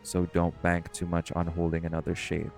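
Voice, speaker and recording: A man's voice: low voice, completely flat delivery, moderate pace, creaky, darkish tone, soft background music. A man playing a stern, protective shifter who leads a dive in an audio roleplay, speaking seriously and cautiously. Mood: serious, cautioning. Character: stern, protective male marine shifter, bossy diving leader. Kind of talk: scripted audio roleplay acting.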